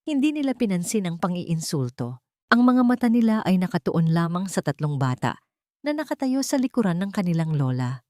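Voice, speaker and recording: A Filipino-accented woman narrates a scripted drama. Her voice sits a little low and moves in lively swings, with a theatrical storyteller's delivery. The recording is very dry. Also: a little fast, no room sound. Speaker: audiobook narrator.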